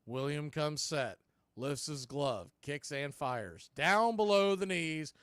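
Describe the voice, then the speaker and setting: A man calling baseball play-by-play, pitch by pitch, in a fairly high, clear voice. He keeps a steady, measured rhythm. The sound is dry and close to the mic, with no room in it. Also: dry recording. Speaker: play-by-play announcer.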